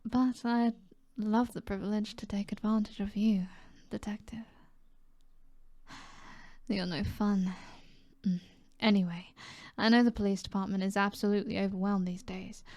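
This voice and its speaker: A woman with a British accent voicing a seductive, self-assured mob boss in an ASMR roleplay, soft and flirtatious, often dropping to a whisper. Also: medium pitch, steady tone, no echo, studio quality, close to an everyday voice. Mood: teasing, seductive, then coolly informative. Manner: flirtatious, teasing, unhurried.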